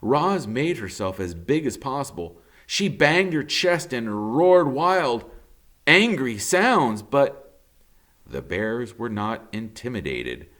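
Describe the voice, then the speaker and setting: A man reading a children's novel aloud in a theatrical, emphatic storytelling style, with dramatic pauses. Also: medium pitch, very animated, fairly bright tone.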